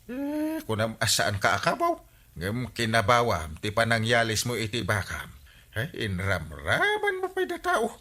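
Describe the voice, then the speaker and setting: Bossy Ilocano village captain, very animated. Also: male voice, medium pitch, a little slow, exaggerated voice. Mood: scolding, exasperated. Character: bossy, excitable male village captain in a rural comedy. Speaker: radio drama actor. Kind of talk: Filipino radio comedy drama acting.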